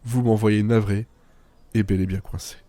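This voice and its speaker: A man's voice: on the low side, steady tone, moderate pace, dark tone, no room sound. A man delivering a scripted first-person monologue as a writer-narrator, whimsical and self-mocking, with playful asides. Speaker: writer narrating own fiction podcast. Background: music.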